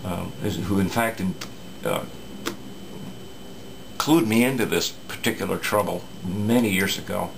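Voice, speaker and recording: A man's voice: deep voice, unhurried, gravelly, pauses often, background noise. A man talking casually at his workbench, explaining a radio repair with many fillers and restarts.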